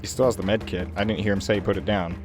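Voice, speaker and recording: A man's voice: fairly low pitch, fast. A man chatting casually and colloquially as a player in a tabletop roleplaying game. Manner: animated, colloquial. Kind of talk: casual, improvised game chat. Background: background music.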